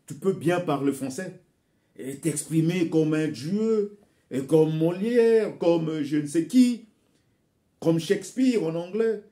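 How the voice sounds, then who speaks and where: Exhorting man, mellow voice. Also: fairly high pitch, expressive, slow. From Congo. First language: Bembe. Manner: exhorting, addresses listeners as brothers and sisters; repeats words while searching for phrasing. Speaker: vlogger addressing his viewers. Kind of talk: impassioned direct-to-camera commentary.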